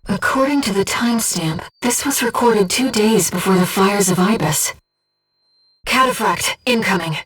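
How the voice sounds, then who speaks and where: A composed, earnest female mind-companion from a video game, guiding a pilot through missions. Her voice is medium in pitch and slightly breathy, with formal, measured phrasing. It sounds a little removed from the mic. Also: fairly bright tone, distant mic, low-quality recording. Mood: urgent, alert. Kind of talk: scripted video game voice acting, mission briefing.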